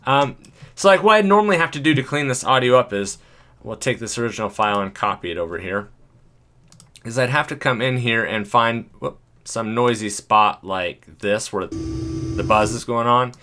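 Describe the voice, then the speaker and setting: A man with a deep voice, talking casually and thinking aloud as he walks through an editing tutorial, chuckling now and then. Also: moderate pace, bright, forceful tone. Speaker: video creator.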